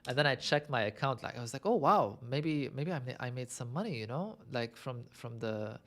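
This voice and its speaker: A man's voice: medium pitch, lively intonation, moderate pace, a bit bright. A young man from Lebanon hosting a casual livestream, answering viewer questions in a chatty, self-amused way and thinking aloud with many fillers. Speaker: livestream host.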